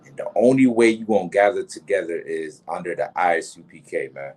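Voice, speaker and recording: A man's voice: low voice, expressive, brisk, a little mellow, rough recording. A man leading a religious class, preaching in casual slangy talk and often tacking on "man". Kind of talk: street preaching lesson. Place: outdoors during a storm.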